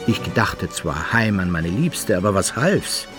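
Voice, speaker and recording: An actor playing a rueful, boastful adventurer in a radio drama monologue, declamatory and husky, in archaic wording. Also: male voice, sits low, big pitch swings, unhurried, music in the background. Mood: wry, lustful. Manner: declamatory, theatrical. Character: rueful boastful adventurer telling his own misfortunes.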